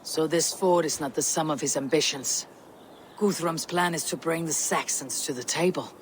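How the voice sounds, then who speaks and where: An actor playing a stern, blunt Viking warrior leader who speaks grimly and firmly, in a video game. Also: male voice, a little high, moderate pace, long reverb tail, a little hiss or hum, close to an everyday voice. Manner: grim, firm.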